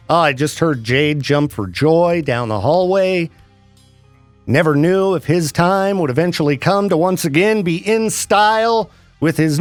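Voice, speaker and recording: Male radio host, higher voice, chatty and joking, soft music bed underneath. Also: no echo. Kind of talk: casual radio banter.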